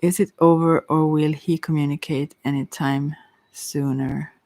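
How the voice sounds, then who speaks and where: Tarot reader, mid-range pitch, soft and sometimes whispery, hesitant rambling delivery, casual, intimate dry recording. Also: little pitch movement, measured pace, dry recording. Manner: hesitant, rambling, thinking aloud. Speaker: tarot reader hosting a live reading. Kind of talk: casual intuitive tarot reading, answering listeners' questions.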